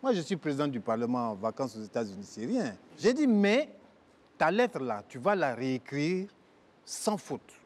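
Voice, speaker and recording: A man's voice: mid-range voice, big pitch swings, moderate pace, darkish tone. A male comedian playing a boastful, exasperated president of a mock parliament, exclaiming and stammering over repeats. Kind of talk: stage sketch comedy.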